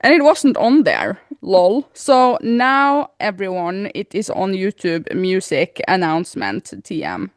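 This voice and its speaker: A woman co-hosting a casual podcast, talking in an animated way, laughing often and reading listener comments aloud. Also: mid-range voice, slow, few pauses, dry recording. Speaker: podcast co-host. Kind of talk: casual chat.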